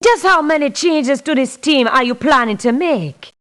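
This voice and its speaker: A woman playing a tough, principled mercenary for hire in a video game, speaking in a blunt, firm, measured way. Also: high voice, expressive, fairly bright tone. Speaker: mercenary character in a strategy game. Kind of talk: video game voice clips, scripted refusals and barks.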